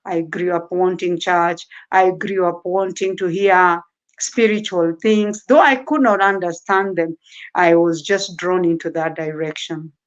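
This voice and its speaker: A woman's voice: lowish voice, steady tone, unhurried. A Kenyan woman guest in an interview, talking earnestly about her early life, often repeating her phrases. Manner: earnest, repetitive, fervent. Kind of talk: interview storytelling. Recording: muffled lo-fi audio.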